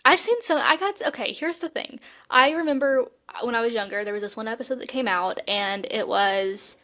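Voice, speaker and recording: Woman, slightly high voice, chatty podcast co-host, animated fan talk in a casual conversational style. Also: a little fast. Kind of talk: casual chat about TV shows.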